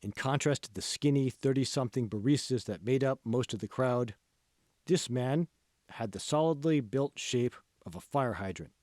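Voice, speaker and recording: A man's voice: mid-range voice, normal speed, a little mellow, no echo, studio quality. A man reading a novel aloud as scripted audiobook narration. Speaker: author reading own audiobook.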